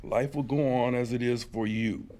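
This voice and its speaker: An actor at a table read playing a grave, cryptic leader of an underground army, speaking with deliberate portent. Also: male voice, mid-range voice, fairly even intonation, mellow, close to an everyday voice. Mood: grave, mysterious. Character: solemn cryptic underground-army leader in sunglasses and leather coat. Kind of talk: live script table read, dramatic. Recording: faint noise, live room table read.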